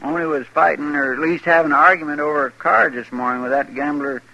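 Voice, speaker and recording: Highish, folksy Western deputy. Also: male voice, steady tone, fast talker, gravelly, sharp tone, muffled recording. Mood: defensive. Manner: folksy, deferential, plain-spoken. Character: earnest, simple, folksy marshal's assistant in the Old West. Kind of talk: 1950s radio western acting. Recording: noisy, crackly old radio recording.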